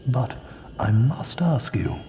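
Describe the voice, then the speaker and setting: An older man with a British accent playing an elderly wizard headmaster in a game, calm, grave and measured. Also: medium pitch. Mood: calm, probing. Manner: measured, gentle, deliberate. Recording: roomy long reverb, faint noise.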